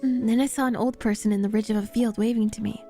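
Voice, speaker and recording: A woman's voice: a little high, fairly even intonation, fast, dark tone, soft background music. A woman reading a story aloud as a streamer, in dramatic first-person narration.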